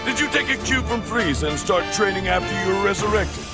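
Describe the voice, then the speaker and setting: An actor voicing a stern, proud, dismissive warrior with a gruff, gravelly voice in an English anime game dub. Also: male voice, high-pitched, lively intonation, quick pace. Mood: scornful disbelief. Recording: loud music over the voice.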